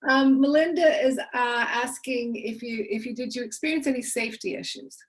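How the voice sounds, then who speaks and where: A woman's voice: a little high, normal speed. A woman hosting an author event, passing on audience questions with small hesitations. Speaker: event host.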